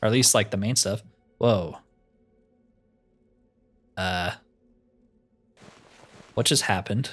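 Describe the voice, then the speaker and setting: A man's voice: on the low side, slow pace, long pauses, a bit bright, soft background music. A man giving casual gameplay commentary in a laid-back way, musing to himself as he plays. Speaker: gaming streamer.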